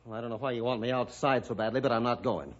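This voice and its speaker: An actor playing a stubborn, suspicious man with a gruff, challenging manner in a radio adventure drama. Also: mid-range voice, fast, natural voice. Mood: defiant, scornful. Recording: dull lo-fi radio recording.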